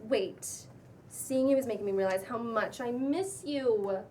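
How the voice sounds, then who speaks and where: A woman's voice: fairly high pitch, a little mellow, close to an everyday voice. A woman acting a bubbly influencer in her thirties with an intense streak, gushing and slangy, in a cold script read. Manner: gushing, drawn-out exclamations, casual slangy talk. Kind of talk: cold table read of a comedy pilot. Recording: distant room mic, faint background noise.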